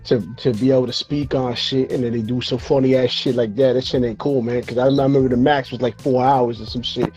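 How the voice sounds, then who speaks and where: A man's voice: mid-range voice, steady tone, brisk, hardly any pauses, darkish tone, soft background music. A man hosting a casual hip-hop interview show over a video call, tired and losing his voice, talking in a laid-back, slangy way with plenty of fillers and cursing. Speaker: show host.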